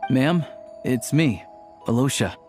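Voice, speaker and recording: An actor playing a guarded but quietly kind village man in a game cutscene, matter-of-fact, with music behind him. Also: mid-range voice, lively intonation, takes it slowly. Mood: gentle. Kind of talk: video game cutscene acting.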